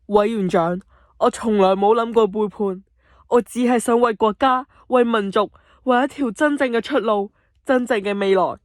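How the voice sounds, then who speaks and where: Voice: medium pitch, normal speed, no echo, studio quality, natural voice. Resolute male patriot general, amateur actor, declamatory and earnest, medium register, radio drama. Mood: earnest, determined.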